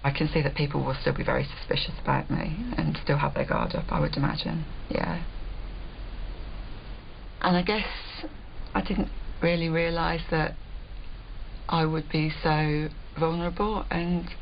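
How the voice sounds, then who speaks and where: A woman's voice: sits low, animated, normal speed, creaky. A woman giving a candid, hesitant and reflective confessional interview on a reality show. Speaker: reality show contestant, journalist. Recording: muffled, faint noise.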